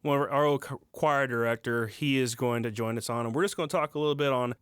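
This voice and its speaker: A man co-hosting a casual music podcast, easygoing and quick to laugh, on a close microphone. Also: medium pitch, fairly bright tone, smooth, no echo. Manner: easygoing, laughs often, signs off in a host tone. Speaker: podcast co-host.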